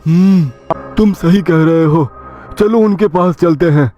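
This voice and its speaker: Cartoon villager male performer: doubtful yet easily swayed, high register, faint music. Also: a little slow, mellow, dull audio, close to an everyday voice. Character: doubting but gullible male villager seeking education. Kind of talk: Hindi cartoon moral story dialogue.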